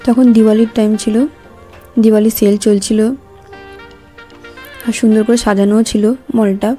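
A woman's voice: medium pitch, little pitch movement, normal speed, dark tone, faint music behind. A woman vlogger, giving a casual voice-over narration in short phrases.

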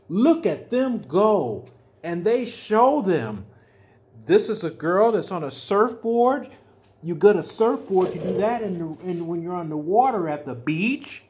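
An older man reading a children's picture book aloud as a storyteller, adding simple explanations with drawn-out emphatic words. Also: sits high, a little mellow. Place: small furnished room at home, indoors.

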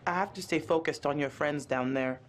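A woman's voice: deep voice, brisk, slight background noise, close to an everyday voice. An actress playing a level-headed young female boat captain in a game cutscene, giving dry, unimpressed replies. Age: young adult.

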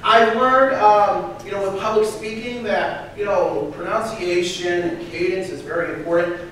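A man's voice: sits high, a bit bright. A young man standing before a seated crowd, telling self-mocking jokes at a roast in a large banquet hall, picked up by a far camera. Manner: self-mocking joke delivery. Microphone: far room camera.

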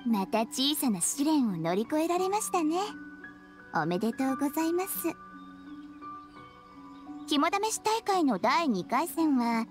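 An actress playing an eerie, courteous young shrine maiden in an anime game, formal and teasingly ominous. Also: female voice, high-pitched.

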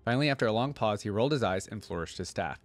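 A young man with a fairly low voice reads a story aloud as a reaction host. He drops into casual commentary now and then. The sound is dry and close on the mic. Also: lively intonation, rapid-fire, no echo, soft background music. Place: indoor room at a desk. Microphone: close desk microphone on a boom arm.

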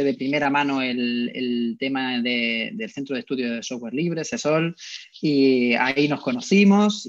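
A man in his twenties moderating an online panel and introducing speakers, a little halting, over video-call audio. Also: a little high, dull audio. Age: 20s. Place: indoor room, video call. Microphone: earpiece headset on video call.

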